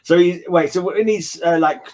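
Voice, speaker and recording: A British man hosting a casual book discussion, talking in a rambling, halting way and often backtracking. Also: high voice, mellow. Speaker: host of a book read-along discussion.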